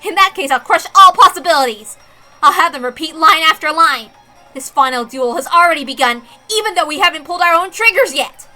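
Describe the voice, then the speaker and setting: Woman acting a bright, high-voiced, combative girl detective. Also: expressive, a little fast, soft background music, exaggerated voice. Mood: fierce determination. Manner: theatrical, emphatic, defiant. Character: brash, combative young female detective, obsessive and theatrical. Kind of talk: dramatic reading of a visual novel.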